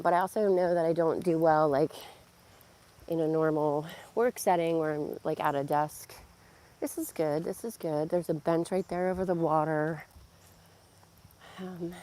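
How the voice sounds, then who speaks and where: Hoarse lady vlogging on a nature walk, lowish voice, lots of ums, bugs chirping around her. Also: warm tone. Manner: rambling, many fillers and laughs. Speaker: vlogger and yoga teacher. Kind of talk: casual walking vlog. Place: outdoors on a nature walk by a pond. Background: crickets and insects outdoors.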